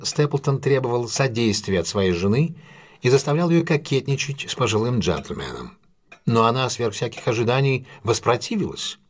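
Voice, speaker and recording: An actor playing a shrewd detective in an audio drama, recounting a solved case in a measured, deliberate, explanatory way. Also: male voice, on the low side, slightly rough, heavily acted. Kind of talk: dramatised storytelling monologue in an audio play.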